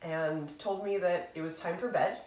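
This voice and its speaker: A woman's voice: fairly low pitch, moderate pace, darkish tone, distant mic. A woman hosting her book channel, chatting casually and reflectively, rambling with fillers and poking fun at herself. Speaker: booktube channel host. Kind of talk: casual chat answering a tag. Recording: roomy echo, voice away from the mic.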